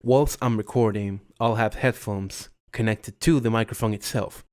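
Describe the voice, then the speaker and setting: A man reading his own scripted voiceover in a measured, formal way, close to a USB condenser mic at home. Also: lowish voice, fairly even intonation, darkish tone, smooth. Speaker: video creator.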